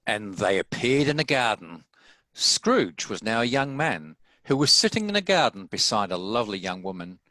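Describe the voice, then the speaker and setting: Bright, low-pitched male story narrator. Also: very animated, normal speed, no echo, poor audio. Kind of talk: scripted story narration at a table read.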